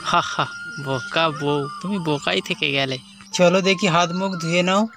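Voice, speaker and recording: Teasing husband, played by a man, high-pitched, exasperated yet caring, cartoon drama with music behind. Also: normal speed, close to an everyday voice. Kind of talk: amateur cartoon story voice acting.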